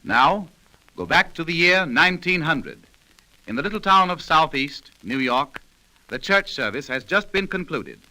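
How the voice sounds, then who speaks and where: A man's voice: fairly high pitch, sing-song, unhurried, sharp tone, rough recording. A man narrating a scripted 1930s radio program in a dramatic, formal storytelling manner. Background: music.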